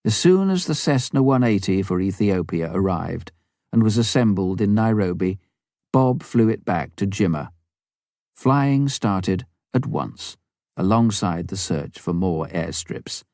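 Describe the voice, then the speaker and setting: A man narrates a memoir in a lowish voice. His scripted reading is measured and clearly phrased, yet the intonation rises and falls a great deal. The sound is dry, with little room in it. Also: expressive, darkish tone, no echo. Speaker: audiobook reader.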